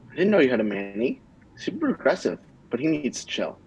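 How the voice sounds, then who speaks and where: Guy doing dumb cocky teen boy, slangy, croaky, bit of call noise. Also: a little high, wildly swooping pitch, fairly quick, a little mellow, highs cut off, exaggerated voice. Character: cocky, dim teenage boy. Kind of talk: comedy table read.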